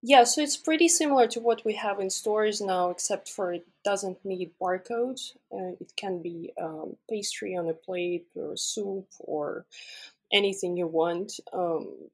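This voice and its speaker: Husky young woman from Russia. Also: mid-range voice, a little slow, darkish tone. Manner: friendly, explains step by step with fillers. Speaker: podcast guest. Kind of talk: casual interview answers.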